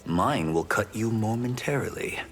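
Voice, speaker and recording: A man's voice: fairly low pitch, expressive, normal speed, faint music behind. A man playing a caring, principled warrior in a fighting game, earnest and serious. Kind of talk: fighting game dialogue acting.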